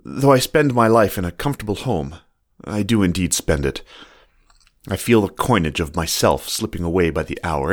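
A genteel, earnest fox writes his careful love letters, as a man reads them aloud. The voice sits low but moves a lot in pitch. The reading is formal and measured, like a letter from another century. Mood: wistful. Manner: formal, measured, old-fashioned.

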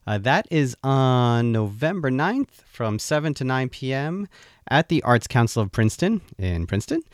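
A man hosting an interview podcast, chatting in a relaxed way with frequent fillers and restarts. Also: medium pitch, no echo, studio quality. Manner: conversational, halting with fillers. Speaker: podcast host. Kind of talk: casual interview.

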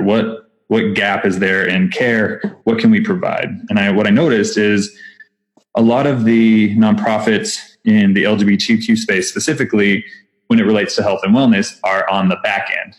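Texas guy being interviewed, kinda low voice, excited and rambly, says um a lot. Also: moderate pace. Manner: enthusiastic, chatty, frequent fillers and restarts. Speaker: nonprofit founder, podcast guest. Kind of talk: casual interview talk.

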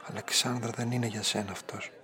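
A man's voice: on the low side, steady tone, sharp tone. An actor playing a shy young man in his twenties, earnest, hesitant and insistent, in a radio drama. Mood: hesitant, jealous. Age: young adult. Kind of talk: Greek radio drama acting.

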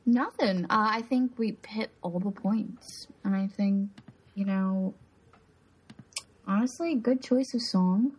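Husky-voiced woman, relaxed co-host. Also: medium pitch, takes it slowly, poor audio. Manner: brief, laid-back interjections. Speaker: podcast co-host. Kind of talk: casual chat.

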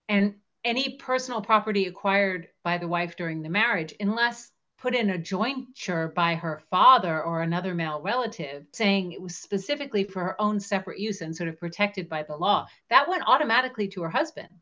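Casting: female co-host for history discussion, medium-pitched voice, explanatory delivery, slightly dull recording. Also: bright, forceful tone. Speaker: show co-host.

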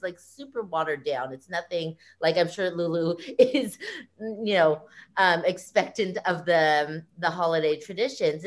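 A woman with an American accent chatting casually as an interview guest, giggly and self-deprecating about herself. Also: mid-range voice. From United States. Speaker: interview guest, picture book illustrator. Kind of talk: casual chat.

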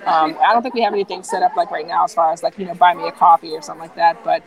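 A woman guest chatting casually in a live interview, enthusiastic and full of fillers, her voice getting hoarse from too much talking. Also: lowish voice, very fast, soft background music, low-quality recording. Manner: relaxed, filler-heavy, enthusiastic. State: losing her voice. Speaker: founder of a nonprofit hacking group, guest.

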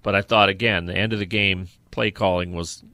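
A man's voice: low-pitched, steady tone, fairly quick, pushed and bright, no room sound. A man co-hosting a sports podcast, chatting casually and giving emphatic opinions on football games.

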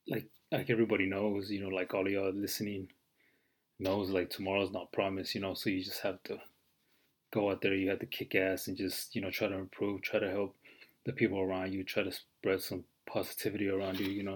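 Low, flat-voiced man in his twenties. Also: fairly quick, husky. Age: 20s. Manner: rambling, heavy fillers, casual swearing. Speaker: podcast host. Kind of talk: casual solo podcast monologue.

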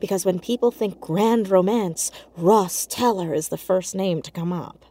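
An actress playing an earnest, caring teenage girl in an audio drama, speaking in a hesitant, halting, naturalistic way. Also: medium pitch, dark tone, natural voice. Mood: puzzled, guarded. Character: earnest, awkward, caring teenage girl amateur sleuth, hiding in fear.

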